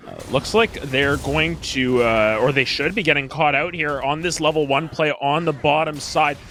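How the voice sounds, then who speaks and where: A man giving live play-by-play commentary on an esports match, animated, with frequent filler pauses. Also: on the high side, slight background noise.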